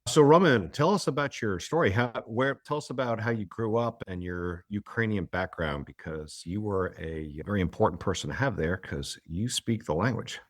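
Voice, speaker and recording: A man's voice: fairly low pitch, normal speed, few pauses, no room sound, studio quality. A man hosting a medical podcast, reading a formal guest introduction and then asking questions. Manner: formal, reads a long guest biography. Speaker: podcast host. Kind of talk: scripted introduction and interview.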